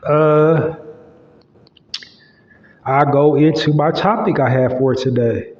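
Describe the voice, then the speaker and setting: A man's voice: a little high, takes it slowly. A man hosting his own podcast, talking casually and loosely with lots of fillers, his nose stuffy and congested. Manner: loose, rambling, with many fillers. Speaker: podcast host. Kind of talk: casual solo podcast monologue. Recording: roomy, long-echo, slightly dull sound.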